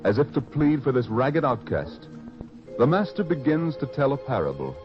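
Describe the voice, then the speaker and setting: A man narrating an old-time radio drama as announcer, solemn and dramatic, with deliberate phrasing. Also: medium pitch, very animated. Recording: dull lo-fi vintage radio recording. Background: music.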